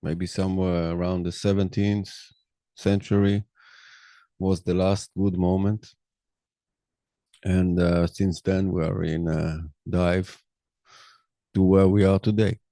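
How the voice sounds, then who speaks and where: Slow gravelly Israeli man, low voice. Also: flat delivery, warm tone, no echo, clean studio sound. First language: Hebrew. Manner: slow, halting, many fillers. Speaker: podcast host. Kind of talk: personal monologue.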